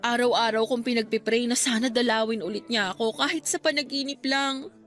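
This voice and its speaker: A woman's voice: fairly high pitch, steady tone, a little fast, fairly bright tone, faint music behind, close to an everyday voice. A young Filipino woman acting in a radio drama as a devoted graduate grieving her late best friend, earnest and sentimental. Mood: tender longing. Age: young adult.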